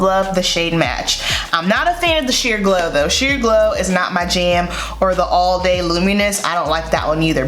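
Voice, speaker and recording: A woman's voice: lowish voice, fairly bright tone. A woman in her thirties with a Southern US accent talks through a makeup tutorial at her vanity, chatty and upbeat, often repeating words for emphasis. Her voice is a touch congested from a cold. The room is echoey, with faint music behind her. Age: 30s. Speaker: beauty vlogger. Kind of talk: casual makeup tutorial chat. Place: bedroom vanity room, indoors.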